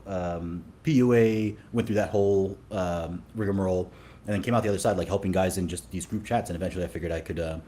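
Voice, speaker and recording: A man's voice: low-pitched, dark tone, a little hiss or hum. A man from Sudan, a dating coach, talking casually in an interview with many fillers and restarts.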